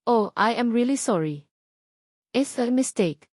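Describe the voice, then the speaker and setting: A woman's voice: fairly high pitch, animated, a little slow, darkish tone, no room sound, clean studio sound. A Filipino woman voicing a confident, teasing young boyfriend in storybook dialogue, slipping between Filipino and English. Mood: mock apologetic. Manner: code-switches into English.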